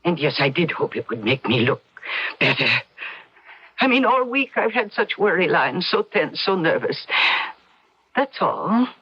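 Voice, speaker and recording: A woman's voice: on the low side, sing-song, a little slow, long pauses, husky, exaggerated voice. A woman playing a vain, nervous and defiant former ballerina of fifty in a radio drama, theatrical and intense. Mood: nervous, defensive. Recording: dull old radio recording.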